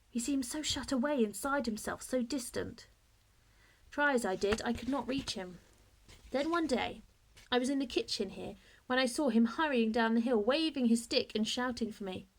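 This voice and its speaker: A woman narrates a children's story with a fairly high voice. She reads like a teacher, measured and expressive, telling it in the first person. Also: rough recording. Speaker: teacher reading aloud.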